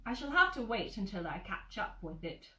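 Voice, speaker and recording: A woman voicing a slow-witted, earnest male storybook bear who muses in a deliberate, mysterious way. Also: mid-range voice, big pitch swings, sharp tone, reverberant, recorded from a distance. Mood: musing, mysterious.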